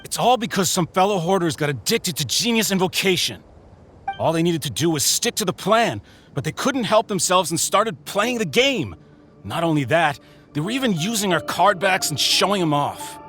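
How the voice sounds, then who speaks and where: A man's voice: sits high, very animated, moderate pace, fairly bright tone, faint music behind. An actor playing an agitated male thief who explains a botched scheme in a game cutscene. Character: excitable male treasure-hunting thief confessing to a scheme.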